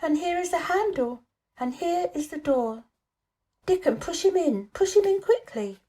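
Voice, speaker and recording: Woman as a young storybook girl, high voice, eager, breathless, secretive, urgent. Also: expressive, unhurried, warm tone. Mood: urgent excitement. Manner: breathless, urgent, solemn delight.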